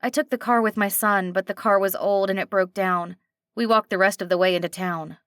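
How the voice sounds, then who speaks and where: A woman's voice: mid-range voice, fairly even intonation, fairly quick, a bit bright, no echo, clean studio sound, close to an everyday voice. A woman doing an exhausted young mother. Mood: weary. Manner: hesitant and weary.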